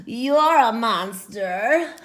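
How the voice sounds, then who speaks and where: A woman voicing a big, blunt storybook monster with a slow, drawn-out delivery. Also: fairly high pitch, animated. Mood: blunt, emphatic.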